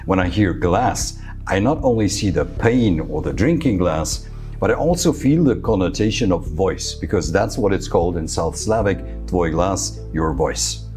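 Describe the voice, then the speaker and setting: Male presenter, native Serbo-Croatian speaker, very low voice, measured scripted delivery, faint music under it. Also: animated, darkish tone. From Serbia. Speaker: futurist presenter. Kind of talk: scripted talk to camera.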